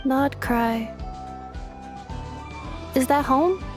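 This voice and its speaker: A woman voicing a polite, literal-minded female robot in a video game, with clipped, formal, deadpan phrasing. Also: high voice, extremely slow, over loud music. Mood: calm, pensive.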